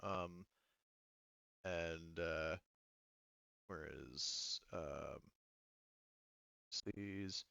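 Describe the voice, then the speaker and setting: A man's voice: low voice, drawn-out words, vocal fry, long pauses, bright, forceful tone, smooth, no room sound, highs cut off. A man acting as game master of a tabletop sci-fi roleplaying game, improvising and thinking aloud in a casual way.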